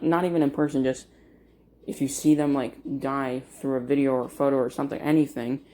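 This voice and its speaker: A grieving man talking to camera in a vlog, in a monotone, halting with many fillers and restarts. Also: medium pitch. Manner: monotone, uninteresting voice. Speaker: vlogger. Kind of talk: casual talk to camera.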